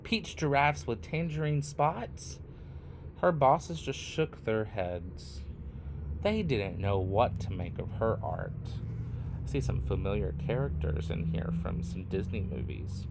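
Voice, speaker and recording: A man reading a picture book aloud expressively, in short phrases with deliberate, dramatic pauses. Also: lowish voice, lively intonation, vocal fry, very noisy. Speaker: read-aloud storyteller.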